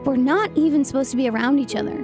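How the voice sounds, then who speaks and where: Wary young woman played by an actress: high voice, drenched in echo, horror audio drama. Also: racing, lightly acted. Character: wary, playful young woman, a secret friend. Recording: very echoey, distant, under music.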